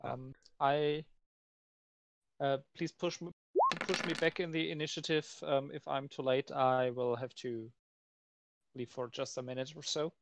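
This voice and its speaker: A man's voice: medium pitch, steady tone, unhurried, creaky, long pauses. A man playing a tabletop game, talking casually in hesitant, piecemeal phrases.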